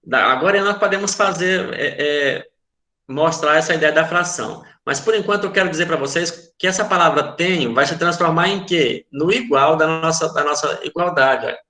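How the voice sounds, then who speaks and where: A man's voice: fairly high pitch, normal speed, sharp tone, reverberant. A man with a Brazilian Portuguese accent, a math teacher explaining a word problem in an online class, patiently repeating and checking that students follow.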